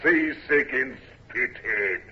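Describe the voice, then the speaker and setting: A man's voice: mid-range voice, slow pace, lo-fi sound, natural voice. An actor playing an arrogant midshipman who scorns a seasick newcomer, in an old radio drama. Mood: scornful. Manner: scornful, drawling.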